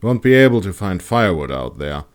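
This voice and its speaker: A man's voice: sits low, very animated, fast talker. A man voicing a practical, cautious male bowman in a fantasy audiobook, speaking matter-of-factly. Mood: practical urging. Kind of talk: audiobook character dialogue.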